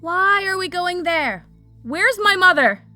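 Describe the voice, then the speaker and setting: Woman acting a high, fiery young woman fire mage. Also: animated, slow pace, sharp tone, soft background music, exaggerated voice. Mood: agitated, demanding. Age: young adult. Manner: agitated, blunt, demanding. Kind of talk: audio drama acting.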